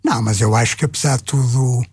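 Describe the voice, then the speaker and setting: A man's voice: medium pitch, quick pace, a little mellow, breathy edge, no room sound. An older man with a European Portuguese accent, a retired publisher, answering thoughtfully with many hesitations. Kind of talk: conversational interview answers.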